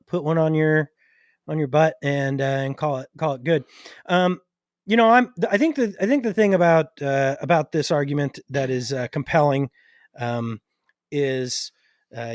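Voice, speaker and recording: A man co-hosting a podcast in casual chat, thinking out loud in a halting way. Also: fairly high pitch, no room sound, studio quality. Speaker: podcast co-host.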